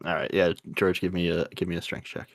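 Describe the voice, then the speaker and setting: A man's voice: deep voice, level tone, fast talker, gravelly, no room sound, clean studio sound. A man acting as game master, narrating a tabletop role-playing game in an informal, slangy, conversational way.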